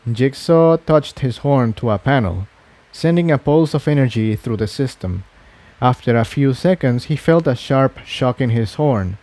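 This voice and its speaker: A man's voice: medium pitch, animated, unhurried, dark tone, dry recording. A man reading a scripted adventure story aloud as its narrator. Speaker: fan fiction narrator.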